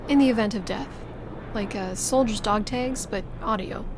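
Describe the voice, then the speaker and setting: A woman's voice: mid-range voice, normal speed, noisy background, natural voice. A woman playing a cool, blunt team advisor with a dry, matter-of-fact manner in an audio drama.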